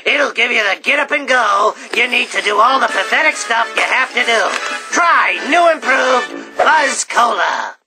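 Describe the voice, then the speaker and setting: A man playing a manic, raspy showbiz clown hawking products to kids in a brash cartoon commercial patter. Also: very high voice, animated, a little slow, few pauses, music in the background, full character voice, rough recording. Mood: brash hype. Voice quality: raspy, rough.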